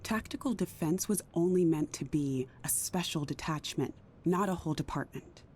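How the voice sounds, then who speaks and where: A woman's voice: low-pitched, normal speed, darkish tone, breathy edge. A woman playing a worried, stubborn, principled council official in a naturalistic drama. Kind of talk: naturalistic audio drama acting.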